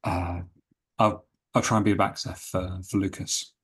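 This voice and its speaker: A man improvising in character as a doctor in a tabletop game, earnest and halting. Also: sits low, level tone, slightly rough.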